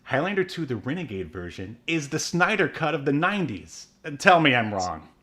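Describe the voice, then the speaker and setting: A man's voice: fairly high pitch, sing-song, a little fast, sharp tone. A man in his thirties co-hosting a podcast, chatting casually with lots of fillers and laughs. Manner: rambling, many fillers, laughs often. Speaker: podcast co-host. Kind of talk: casual chat.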